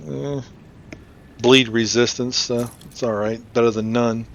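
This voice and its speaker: A man's voice: mid-range voice, steady tone, measured pace, fairly bright tone, slight background noise. A man giving casual, unscripted commentary as he hosts a gameplay video. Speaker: gaming video host.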